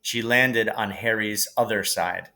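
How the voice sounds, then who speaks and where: Slow-paced male storybook narrator. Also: lowish voice, bright, forceful tone. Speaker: teacher reading aloud.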